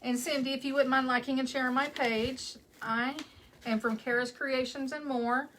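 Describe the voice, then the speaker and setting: A woman's voice: high-pitched, fairly even intonation, moderate pace, fairly bright tone, slight background noise, low-quality recording. A woman with a West Texas accent hosting a live crafting stream from home, chatting casually and greeting viewers by name as she works. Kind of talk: casual chat while crafting.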